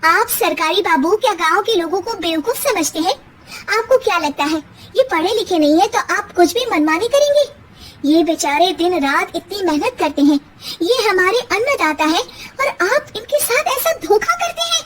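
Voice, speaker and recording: Woman doing a young educated bride, firm, outspoken, bright high voice, cartoon. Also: lively intonation, moderate pace, a little hiss or hum. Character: clever, educated young daughter-in-law.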